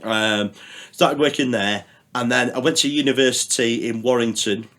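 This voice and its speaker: A man's voice: mid-range voice, moderate pace, fairly bright tone. A middle-aged man, telling rambling stories as a podcast guest, full of fillers and the odd swear word. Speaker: podcast guest, radio producer. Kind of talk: casual chat.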